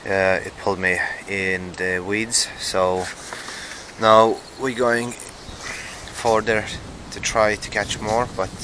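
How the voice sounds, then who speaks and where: Slow, low-voiced man outdoors. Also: flat delivery. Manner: unhurried, short remarks with repeated words. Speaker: angling vlogger. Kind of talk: casual first-person fishing commentary. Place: outdoors in a kayak on a river. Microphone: body-worn action camera. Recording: noisy outdoor action camera, water and boat sounds.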